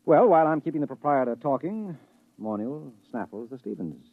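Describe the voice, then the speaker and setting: Chatty, animated fellow, tinny radio. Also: male voice, a little high, brisk, no echo. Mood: wry, explanatory. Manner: chatty, excitable, earnestly explaining himself. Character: wry, self-justifying man, broke book lover. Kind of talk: 1950s radio drama acting. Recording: tinny, phone-like vintage radio recording.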